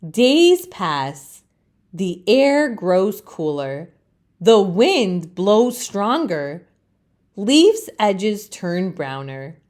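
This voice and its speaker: A woman reading a children's storybook aloud as a storytime host, with expressive narration. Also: medium pitch, extremely slow.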